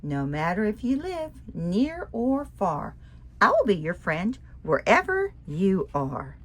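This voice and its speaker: Lady doing a soft motherly sea turtle, slow and breathy. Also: mid-range voice, huge pitch swings, exaggerated voice. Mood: gentle.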